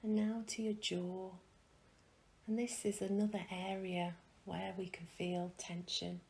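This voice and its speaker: Slow, soft woman guiding a meditation. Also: medium pitch, flat delivery, vocal fry. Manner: soft, soothing, gentle delivery with long pauses. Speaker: meditation guide. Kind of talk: guided meditation.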